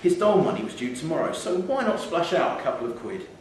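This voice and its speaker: A man reading a short story aloud in a measured, wry storytelling manner, live in a theatre. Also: a little high, sing-song. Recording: echoey theatre hall, distant mic, faint noise.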